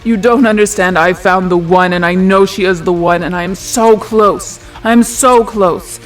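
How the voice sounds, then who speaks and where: A performer playing an obsessive, secretive, wounded male genius in a hushed, intense, halting manner for a tabletop roleplay. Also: mid-range voice, fairly even intonation, soft background music, natural voice.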